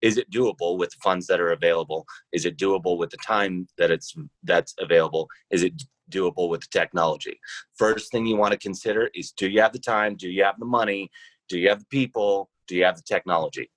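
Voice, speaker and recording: Man, low pitch, dry recording, conversational, deliberate, interview guest thinking aloud. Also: a bit bright, dry recording, rough recording. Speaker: podcast guest, founder of a role-playing podcast.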